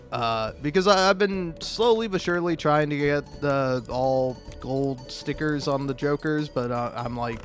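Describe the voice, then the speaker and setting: A man giving casual, meandering gameplay commentary, swearing freely and poking fun at himself as he chuckles along. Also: mid-range voice. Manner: sweary, self-deprecating, meandering; chuckles often while talking. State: high on drugs. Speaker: video game streamer.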